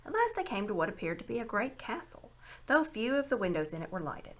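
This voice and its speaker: A woman reading a children's story aloud in an expressive narrating style. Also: on the high side, quick pace. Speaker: amateur audiobook reader.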